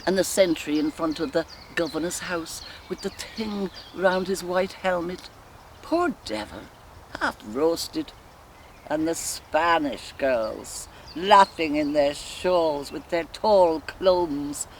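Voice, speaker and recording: An actress playing a sensual Irish woman lost in rapturous memory, in an expressive, musing theatrical soliloquy with an Irish accent. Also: lowish voice. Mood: wistful, vivid. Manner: musing, expressive, slow and deliberate with sighs. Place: outdoors in countryside woodland. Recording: faint outdoor ambient noise. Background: faint birdsong and nature ambience.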